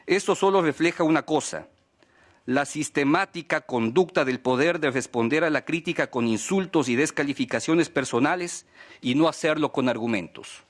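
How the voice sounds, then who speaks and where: A man's voice: on the high side, normal speed. A man, reading out a formal prepared statement as an advocate at a hearing. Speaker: press freedom advocate speaking at a human rights hearing.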